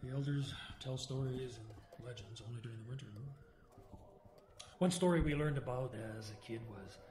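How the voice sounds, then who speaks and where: A man's voice: mid-range voice, moderate pace, noisy background, lightly acted. Man acting a haunted older Native storyteller, Ovid. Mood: wry storytelling. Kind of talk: audio drama storytelling.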